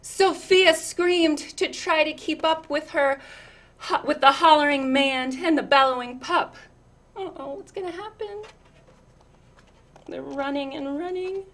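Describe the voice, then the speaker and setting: A woman's voice: high-pitched, a little slow, a bit bright. A woman reading a children's picture book aloud expressively, with chatty asides and questions to her listeners.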